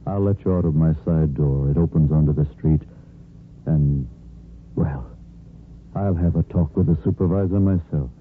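An actor playing a kindly older hospital chief of staff in a 1950s radio soap, reassuring and paternal. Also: male voice, very deep voice, moderate pace, pauses often, soft background music. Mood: fatherly, gentle. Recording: muffled, noisy 1950s radio recording.

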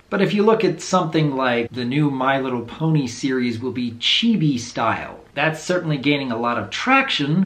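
A man in his thirties speaks straight to the camera with a low, mid-pitched voice, earnest and thoughtful. His words echo a little in a small room at home. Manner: earnest, thoughtful, openly unsure. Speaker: video creator. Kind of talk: talking to camera vlog.

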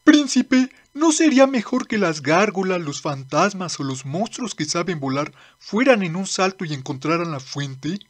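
A woman's voice: on the low side, sing-song, normal speed, hardly any pauses. Woman playing a fussy old demon servant, swooping. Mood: fretful. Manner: whiny, fretful, deferential. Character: fussy, complaining old male demon attendant. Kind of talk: amateur manga dub acting.

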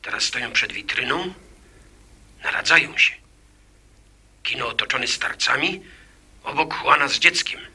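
An agitated merchant's informant for a radio drama. His voice is piercing, husky and gravelly, with an urgent manner. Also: medium pitch, animated, moderate pace, long pauses, bright, forceful tone, heavily acted. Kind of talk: Polish radio drama acting.

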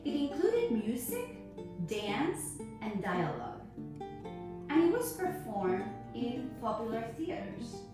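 A woman teaches in a high, expressive voice, setting out her lecture in careful, separated phrases. She sounds set back from the microphone in a very echoey room. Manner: deliberate phrasing with frequent pauses. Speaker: ballet teacher. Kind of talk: prepared educational lecture. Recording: echoey room, distant mic, music behind.

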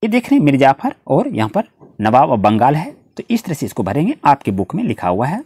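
A tutor with an Indian accent reading out questions and answers in a lesson, addressing students directly with repetitive explanations and halting when reading English. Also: medium pitch, huge pitch swings, a little mellow, husky, no room sound, studio quality.

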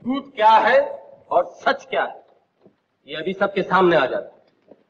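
An actor playing a police inspector who questions a witness and makes a formal arrest, in an old film drama. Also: male voice, high voice, very animated, a little slow, slight background noise. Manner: formal, official. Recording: dull old film soundtrack.

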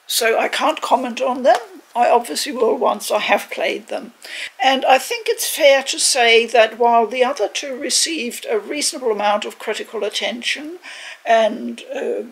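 An older woman, in her sixties or beyond, giving a measured, reflective video review in a conversational way, choosing her words carefully. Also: fairly high pitch, gravelly, slightly rough, rough recording. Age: 60s or older. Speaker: game reviewer, video host. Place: living room, indoors.

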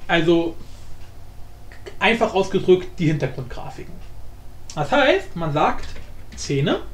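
A man hosting a casual software tutorial in a colloquial, mildly crude, joking way. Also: sits high. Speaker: tutorial host.

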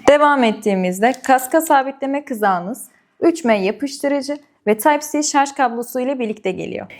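A woman's voice: high-pitched, a little mellow. A woman presenting a product review and unboxing, explaining step by step and addressing viewers directly.

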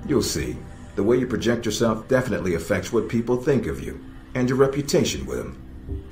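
An actor playing a stern, middle-aged American intelligence operations chief in a video game, measured and dryly sardonic. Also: male voice, on the low side, very animated, a little mellow. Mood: instructive, firm. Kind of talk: video game cutscene dialogue. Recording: echoey, music underneath.

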